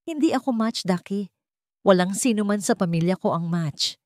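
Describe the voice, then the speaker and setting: A woman's voice: medium pitch, animated, moderate pace, a little mellow, dry recording, studio quality, close to an everyday voice. A woman narrator voicing a desperate mother who pleads near tears for help. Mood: pleading. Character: desperate mother of a sick child.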